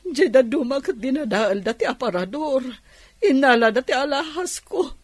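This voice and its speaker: An actress playing a distressed, emotional older aunt in a radio drama, with an Ilocano accent. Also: female voice, high voice, animated, moderate pace, dry recording. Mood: distressed, grateful. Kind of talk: Filipino radio drama acting.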